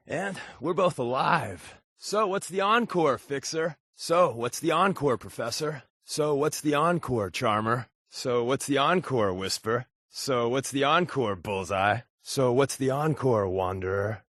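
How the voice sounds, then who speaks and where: Wry, animated wisecracking secret agent. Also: male voice, medium pitch, takes it slowly, dry recording, clean studio sound. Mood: wry, relaxed. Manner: sardonic, casual, joking. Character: wry, wisecracking adult male undercover agent. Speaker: voice actor playing a game companion. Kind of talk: video game character dialogue.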